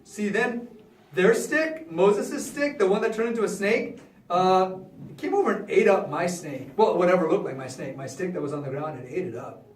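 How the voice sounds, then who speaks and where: Casting: male storyteller as boastful Egyptian court magician, high-pitched, animated, chatty modern phrasing, heard in a roomy echo. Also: moderate pace. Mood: rueful, chatty. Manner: animated, conversational, acting out the other characters. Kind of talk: live in-character Bible storytelling, casual modern speech. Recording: echoey room, far from the mic.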